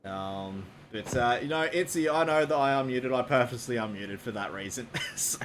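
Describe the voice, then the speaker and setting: A man's voice: medium pitch, normal speed, a little hiss or hum. A man chatting casually after a game, rambling with many fillers. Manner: relaxed, rambling with fillers, laughing. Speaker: esports caster. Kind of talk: casual post-match chat.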